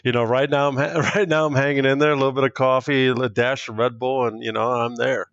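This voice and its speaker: A man's voice: medium pitch, a little fast, no room sound, lo-fi sound. A man with an American accent who was raised partly in Germany, chatting casually and filling his talk with "you know" as a guest on a remote call. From born in Spain, raised in Germany and Nebraska. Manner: relaxed, chatty, many "you know" fillers. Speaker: interview guest, adventurer and filmmaker. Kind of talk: casual interview chat. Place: at home in Denver, recording remotely.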